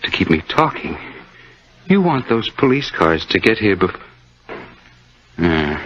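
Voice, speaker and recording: A man's voice: deep voice, takes it slowly, exaggerated voice. An actor playing a boastful, menacing killer on the run in an old-time radio suspense drama, gloating and needling as he talks. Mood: impatient, menacing. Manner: gloating, stammering excitedly, needling. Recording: muffled, noisy old radio drama recording.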